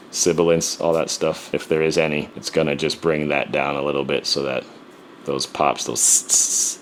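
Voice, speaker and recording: A man's voice: rumbling low, a little hiss or hum. A man who raps and produces, casually walking through his mixing steps with a profane, joking swagger. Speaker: rapper and music producer. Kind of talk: casual music production walkthrough.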